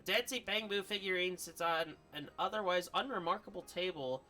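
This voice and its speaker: A man's voice: sits high, moderate pace, sharp tone, soft background music. A man doing game streamer commentary and reading game text aloud.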